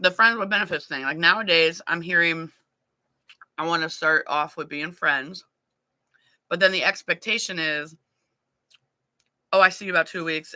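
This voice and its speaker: A woman's voice: low-pitched, pushed and bright, no echo. A woman in her thirties hosting a live talk panel and asking candid, probing questions. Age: 30s. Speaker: host.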